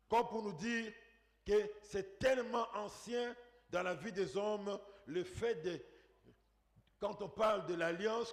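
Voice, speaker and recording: A male pastor preaches in a reverberant church hall, his fairly high voice echoing long around the space. His delivery is emphatic and dramatic, with swells, drops and rhetorical questions. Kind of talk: sermon.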